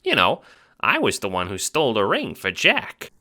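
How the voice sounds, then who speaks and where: A man voicing a short, clumsy, brash but good-natured guard in an audio drama, with a squeaky chipmunk voice and an Italian-American accent. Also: wildly swooping pitch, normal speed, bright, forceful tone, heavily acted. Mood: chummy, boastful. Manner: slangy gangster talk, brash.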